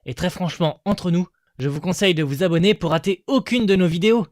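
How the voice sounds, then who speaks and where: A man's voice: high voice, fast talker, darkish tone, dry recording, clean studio sound. A man addressing listeners as presenter of an audio series in a scripted outro.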